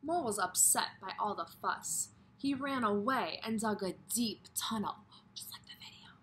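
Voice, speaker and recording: A woman's voice: mid-range voice, normal speed, fairly bright tone, a little hiss or hum, poor audio. A woman kindergarten teacher reading a story aloud expressively and putting questions directly to young children.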